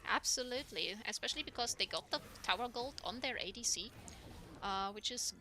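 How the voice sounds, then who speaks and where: A young woman with a squeaky voice, casting a live game in a chatty way with lots of ums and laughs. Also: lively intonation, fairly quick, crowd noise. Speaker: esports caster.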